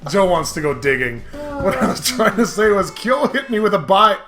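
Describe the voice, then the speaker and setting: A man's voice: high-pitched, big pitch swings, normal speed, reverberant, crowd noise. A man co-hosting a gaming show, reading aloud and bantering casually in a crude, jokey manner.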